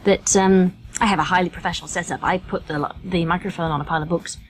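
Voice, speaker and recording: A woman's voice: lowish voice, fairly even intonation, quick pace, slight background noise, poor audio. A British woman chatting informally as a podcast guest, with a self-deprecating, colloquial way of talking. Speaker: featured guest, voice actress. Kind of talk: casual podcast chat.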